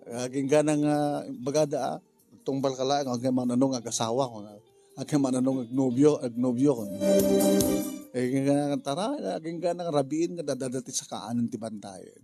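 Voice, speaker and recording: A man with an Ilocano Filipino accent talking casually through a drama story in a halting, rambling, jokey way. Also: medium pitch, dark tone, soft background music. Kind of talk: casual spoken story briefing.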